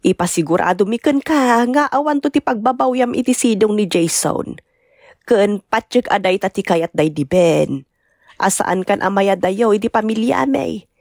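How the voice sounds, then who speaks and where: A woman playing a caring, reassuring mother of a grown daughter in an Ilocano radio drama, solemn and measured. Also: a little high, gravelly, a little mellow, dry recording, clean studio sound.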